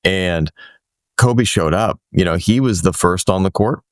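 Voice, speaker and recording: A man's voice: low-pitched, unhurried, dry recording, clean studio sound. A middle-aged man from the Boston area, hosting an interview in a loose, rambling way with many restarts and "you know" fillers. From Boston area, USA. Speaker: podcast host. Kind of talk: casual conversational interview.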